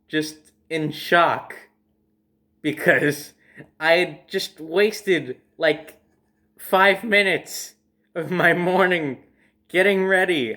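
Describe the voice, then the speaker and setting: A young adult man telling a casual personal story as host, in a dramatic, emphatic manner with drawn-out phrases. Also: sits high, bright, forceful tone.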